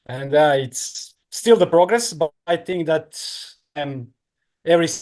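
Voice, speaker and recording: Croatian guy in his 30s, kinda high voice, halting english with lots of uhs. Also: expressive, darkish tone. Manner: halting non-native English with many fillers. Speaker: guest, professional triathlete. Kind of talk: casual interview answers.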